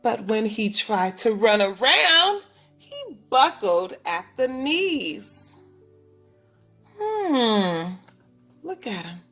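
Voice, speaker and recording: A woman teaching preschoolers, reading a picture book aloud in an instructive way, speaking clearly to children and asking them questions. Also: fairly high pitch, sing-song, drawn-out words, a bit bright, faint music behind. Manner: instructive, asks the listeners questions, enunciates for children. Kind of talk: read-aloud lesson for young children.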